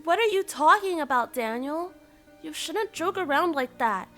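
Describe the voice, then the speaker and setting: A woman playing a grieving, gentle, earnest friend in a cartoon. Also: sits high, animated, normal speed. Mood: disbelieving, chiding. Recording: music under the voice.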